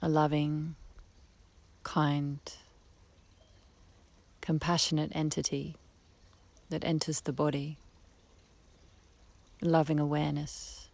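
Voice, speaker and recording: A woman leading a guided meditation in a soft, breathy voice, speaking gently with long unhurried pauses. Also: deep voice, little pitch movement, no echo. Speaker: guided relaxation teacher. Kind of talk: guided meditation, soothing slow instruction. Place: outdoors beside a harbour. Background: birdsong, trickling stream, breeze.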